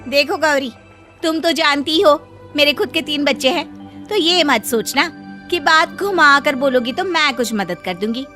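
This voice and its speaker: Woman as snobbish, high-voiced sister-in-law. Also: expressive, moderate pace, sharp tone, faint music behind. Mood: dismissive. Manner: condescending, dismissive. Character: snobbish, evasive married sister-in-law, doting on her brother.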